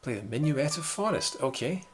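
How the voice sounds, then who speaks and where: A man's voice: mid-range voice, sing-song, normal speed. A man with a mild Scottish accent, casually commenting on his own gameplay during a live stream, wry and muttering to himself. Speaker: game streamer. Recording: game music playing behind.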